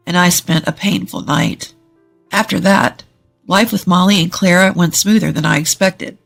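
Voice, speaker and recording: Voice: medium pitch, gravelly, a bit bright. A narrator reading a scripted first-person story, as a native English speaker. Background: music.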